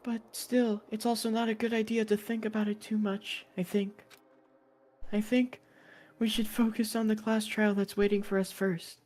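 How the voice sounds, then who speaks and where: A woman voicing a soft-spoken, reassuring young classmate in a visual novel, speaking gently and a little hesitantly. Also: mid-range voice, level tone, normal speed, close to an everyday voice. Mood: thoughtful. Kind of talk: acted dialogue reading from a visual novel.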